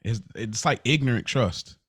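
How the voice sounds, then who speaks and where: Animated emphatic male podcast co-host. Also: medium pitch, normal speed, slightly rough, no room sound, studio quality. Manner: animated, emphatic, talks in bursts. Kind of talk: casual podcast chat.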